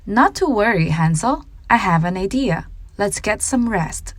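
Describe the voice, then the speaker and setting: A woman voicing a calm, clever young girl in a fairy tale, reassuring and polite. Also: medium pitch, a little slow, dry recording. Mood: confident.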